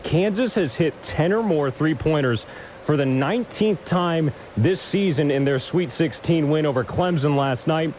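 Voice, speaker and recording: A man's voice: on the high side, a little mellow, dull audio, a little hiss or hum. A man reading a scripted sports update as a broadcaster, with polished, punchy announcer delivery.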